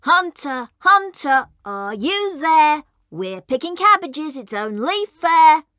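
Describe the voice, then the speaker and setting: A man voicing hungry, cheeky little wolf cubs in a children's story, in a squeaky, childlike, sing-song way. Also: big pitch swings, slow pace, sharp tone, no echo, studio quality, full character voice.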